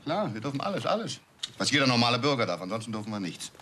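An actor playing a blunt, sarcastic police detective with a lively delivery. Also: male voice, medium pitch, very fast, a little hiss or hum. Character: gruff brash male police detective. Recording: film soundtrack with music.

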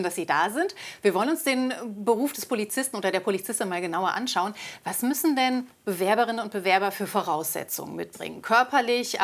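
Woman presenter asking concise questions. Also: mid-range voice. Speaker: news presenter. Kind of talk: studio interview and moderation.

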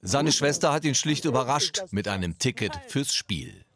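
Male news voice-over, lively intonation, crowd noise behind. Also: medium pitch, normal speed. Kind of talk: scripted news narration.

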